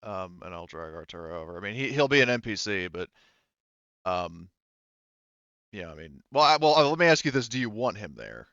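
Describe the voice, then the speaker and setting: A man casually running a tabletop roleplaying game as game master, halting and thinking aloud as he goes. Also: on the low side, big pitch swings, a bit bright, dry recording, dull audio.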